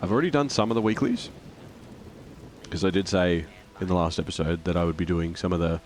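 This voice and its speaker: A man with a croaky, flu-hoarse voice chatting casually while streaming a game and greeting viewers. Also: low-pitched, brisk, a little hiss or hum. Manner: rambling, reads out chat and greets viewers. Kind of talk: casual gameplay stream chat.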